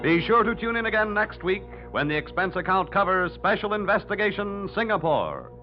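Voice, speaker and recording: A man's voice: high-pitched, big pitch swings, moderate pace. An American man announcing from a script in old-time 1940s radio style. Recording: muffled old radio recording, loud music.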